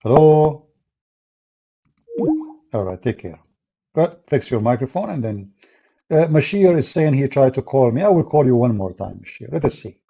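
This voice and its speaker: Husky Arabic-accented man, mocking. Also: mid-range voice, normal speed, pauses often, lo-fi sound. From Middle East. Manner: sarcastic, mocking, tells deadpan tall tales. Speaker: live stream host. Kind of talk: casual live stream chat.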